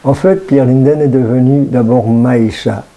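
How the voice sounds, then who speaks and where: A man's voice: mid-range voice, fairly even intonation, a little slow, dark tone. A man around sixty with a Brussels Belgian French accent, a radio guest talking casually with many hesitations and fillers.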